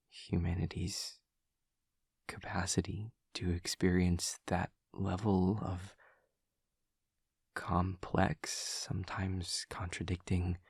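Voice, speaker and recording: A man voicing an awkward, earnest demon classmate in an audio roleplay, speaking in halting, formal, overly literal phrases with a husky voice. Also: low-pitched, no echo, clean studio sound, heavily acted. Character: awkward, earnest male demon classmate who senses emotions. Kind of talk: scripted audio roleplay to the listener.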